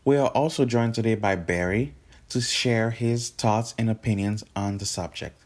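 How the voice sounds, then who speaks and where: A man's voice: lowish voice, moderate pace. A man announcing a podcast episode in a scripted introduction.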